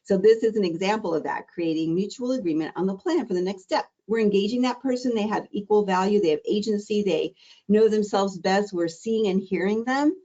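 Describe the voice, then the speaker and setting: A middle-aged woman, a diabetes educator, teaching an exam-prep webinar in a warm, conversational, encouraging way. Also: medium pitch, a little fast, dull audio. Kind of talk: live online exam-prep lesson.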